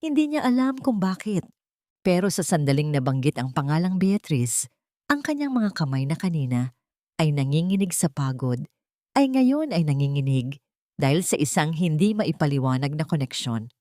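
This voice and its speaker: A woman's voice: sits low, sing-song, moderate pace, mellow, no room sound, clean studio sound. A woman narrating a scripted audiobook story in a dramatic storytelling style.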